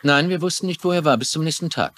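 Actor as a grave, higher-pitched male agent. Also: fast, a bit bright, close to an everyday voice. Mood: grave, urgent. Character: grave government agent briefing officers on a secret case. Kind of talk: dubbed TV crime drama acting.

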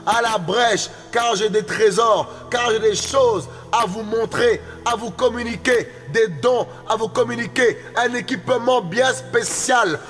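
A man's voice: very high-pitched, a little slow, few pauses, a bit bright. A man delivering a prophetic utterance, with intense, repetitive declaiming and speaking in tongues. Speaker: prophetic preacher. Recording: long hall reverb, faint music behind.

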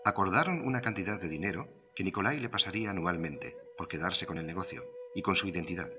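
A man with a low-pitched voice narrates a serialized mystery story in a scripted, storytelling style. Music plays clearly behind his voice. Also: fast. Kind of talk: scripted narration of an audio drama novel.

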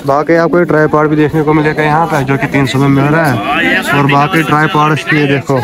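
A man's voice: a little high, flat delivery, fairly quick. A young man vlogging as he walks through a crowded outdoor street market, chatting to viewers about prices, recorded on a handheld camera at arm's length. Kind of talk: walking market tour vlog. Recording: loud crowd noise around. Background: loud market crowd.

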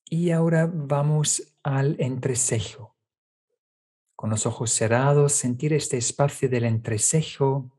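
A man teaches a guided meditation at a very unhurried pace. His voice is soft-edged and mellow, sitting at an ordinary male pitch. He gives calm, gentle instructions step by step. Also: husky.

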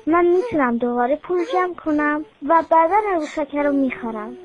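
Woman as a kind little girl, high bright voice, pleading, tinny thin sound. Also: natural voice. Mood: earnest. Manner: eager, pleading child voice.

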